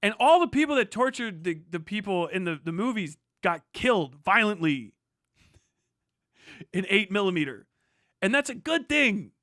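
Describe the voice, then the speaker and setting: A man with a high, bright, forceful voice gives live reaction commentary. He is blunt and profane, speaking as a streamer in a dry, close sound. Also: sing-song, normal speed, no room sound. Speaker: streamer reacting to a news video. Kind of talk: live reaction commentary with read-out passages and rants.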